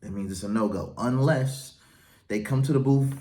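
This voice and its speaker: A man's voice: mid-range voice, normal speed, mellow. A man who works as a wedding and event DJ, hosting his own show and giving casual, confident advice with a strong, projecting voice. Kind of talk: casual advice talk to camera.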